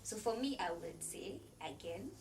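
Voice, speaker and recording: An earnest woman speaks as a podcast guest, laying out her points one by one in a preacherly way, with plenty of "like" between her phrases. Her voice sits at an ordinary middle pitch. She is picked up from a little way off the mic, with a faint hiss of background noise behind her. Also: animated, unhurried, far from the mic. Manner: loose filler-heavy phrasing with frequent "like". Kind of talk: faith-based motivational conversation.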